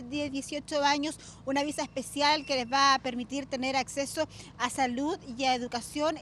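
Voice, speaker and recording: A woman with a Chilean accent giving a live news report in a halting, hesitant delivery. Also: high voice, fairly bright tone. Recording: outdoor street noise, passing vehicles. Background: faint traffic noise.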